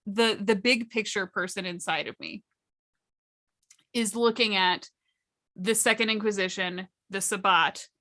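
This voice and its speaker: A woman's voice: mid-range voice, fairly even intonation, a little slow, long pauses, bright, forceful tone. A woman casually explaining roleplaying storytelling, emphatic and drawing out her key words. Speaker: panelist at a tabletop roleplaying storytelling workshop.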